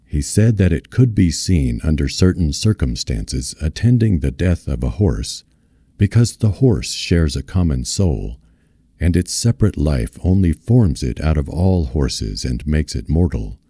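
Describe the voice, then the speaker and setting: A man reading literary fiction aloud as a narrator, in a measured, solemn manner. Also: very deep voice, very animated, mellow, slightly rough, no echo, studio quality. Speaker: reader of a novel.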